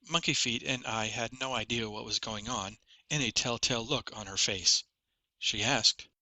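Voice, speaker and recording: A man's voice: medium pitch, moderate pace, sharp tone, no room sound, low-quality recording. A man reading a first-person fantasy story as a scripted audiobook narration.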